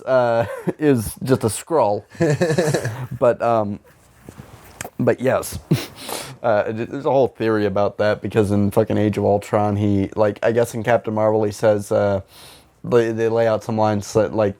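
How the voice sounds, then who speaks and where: A man's voice: on the low side, warm tone. Rambling, sweary man podcasting. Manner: rambling, sweary, acts out imagined dialogue. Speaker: podcast host. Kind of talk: casual comedic pop-culture chat.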